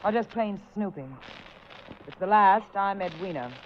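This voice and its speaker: An actress playing a sarcastic, prickly gold-digger wife in a 1940s radio drama, curt and wisecracking. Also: female voice, medium pitch, animated, unhurried, close to an everyday voice. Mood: sardonic. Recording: noisy, dull 1940s radio recording.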